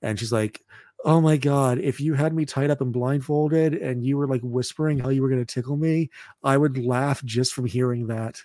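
A man in his thirties chatting casually as a podcast host, halting and often repeating words as he goes. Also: mellow, no echo, studio quality. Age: 30s. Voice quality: deep voice. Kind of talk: casual chat.

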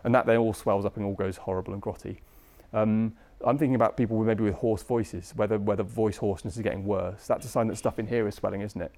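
A man's voice: on the low side, steady tone, quick pace, few pauses, warm tone. A British man teaching medicine in an informal lecture, speaking conversationally with colloquial asides and tag questions. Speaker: medical lecturer, anaesthesia registrar.

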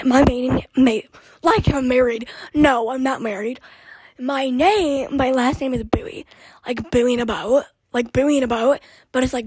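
A young woman with a high, raspy voice hosts a casual podcast. She talks in a loose, scattered way, often slipping into whispered asides. The sound is dry and close. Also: a little mellow, no room sound. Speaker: podcast host. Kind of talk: casual rambling chat.

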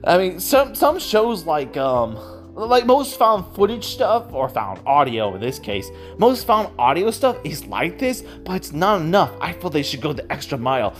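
An excitable, giggly speaker rambling casually about a favourite hobby, often repeating and stammering over words. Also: medium pitch, normal speed, soft background music. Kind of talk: casual rambling monologue about audio dramas.

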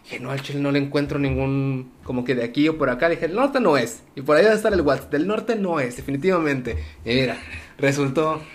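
A man's voice: on the high side, a little fast. Laid-back Mexican man hosting an interview. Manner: laid-back, joking, uses slang. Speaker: host. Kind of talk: casual interview chat.

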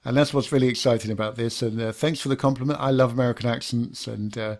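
A British man hosting a podcast, relaxed and unhurried, with a smooth radio voice that has a gravelly, fry-tinged edge. He pauses often on "uh". The sound is dry and close, with no room echo. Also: mid-range voice. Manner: laid-back, smooth, many "uh" fillers. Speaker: podcast host. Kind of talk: interview podcast.

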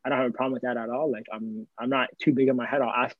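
A man's voice: mid-range voice, level tone, racing, no echo, narrow, tinny audio. A man co-hosting a podcast in casual chat, talking loosely with many "like" and "you know" fillers. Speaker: podcast co-host.

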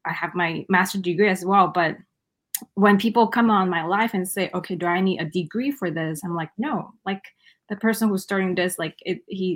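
Hesitant woman from Malaysia. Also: on the low side. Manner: hesitant, many fillers and restarts. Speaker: guest. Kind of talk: casual interview answers.

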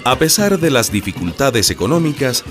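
A man's voice: mid-range voice, very animated, normal speed, natural voice. A man narrating a radio drama as a scripted storyteller. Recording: music bed behind the voice.

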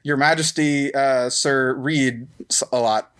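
A man's voice: mid-range voice, fairly even intonation, slow, a bit bright, clean tone. A man hosting a casual podcast, chatting in a playful, mock-formal joking way. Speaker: podcast host.

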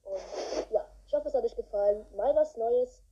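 A woman's voice: on the high side, normal speed, dark tone, husky. A woman hosting a podcast in a casual, rambling way with many fillers. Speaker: podcast host. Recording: dull lo-fi recording.

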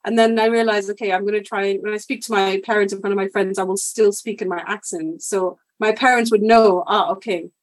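A woman's voice: mid-range voice, steady tone, a little fast, hardly any pauses, dry recording. A young adult woman, a guest chatting casually in an interview, laughing often and talking with many fillers. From India and the United Kingdom.

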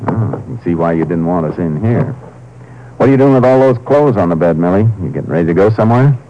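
An actor playing a calm, firm Texas Ranger in a 1950s radio crime drama, terse and dryly persistent. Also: male voice, deep voice. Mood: suspicious, probing. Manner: terse, unhurried questioning, dry. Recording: muffled, hissy 1950s radio recording.

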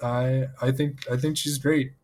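A man's voice: mid-range voice, level tone, measured pace, darkish tone. A man co-hosting a casual card-game podcast who talks hesitantly with many fillers but sounds enthusiastic. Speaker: podcast co-host.

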